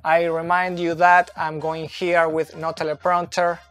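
A man in his thirties whose first language is not English, explaining soccer tactics slowly at a whiteboard, recorded on a phone camera indoors. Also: a little high, completely flat delivery, fairly bright tone, clean tone, dry recording, soft background music. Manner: slow, deliberate, with fillers and restarts, pointing at a board. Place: indoor room with a brick wall and whiteboard. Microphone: phone camera, close and moving.

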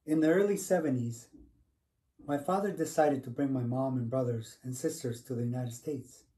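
A man acts as a reflective middle-aged storyteller recounting family history. His voice is mellow and dark, medium in pitch, picked up a little away from the mic in a room. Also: normal speed, lightly acted. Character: reflective son of Mexican immigrants telling family history. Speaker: actor in a dramatized monologue. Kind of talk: dramatized first-person storytelling. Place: indoors, home room. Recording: slightly distant room microphone.

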